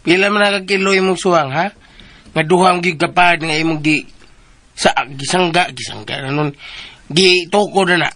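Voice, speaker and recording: A man playing a slow-witted, boastful sidekick in a radio drama, with stammering, hesitant repetitions. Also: sits high, sharp tone. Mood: teasing.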